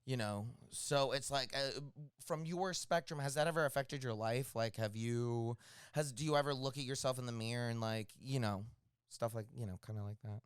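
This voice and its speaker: A man's voice: medium pitch, moderate pace, clear, resonant tone, no echo. A man co-hosting a casual interview chat, teasing and joking as he asks questions. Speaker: co-host.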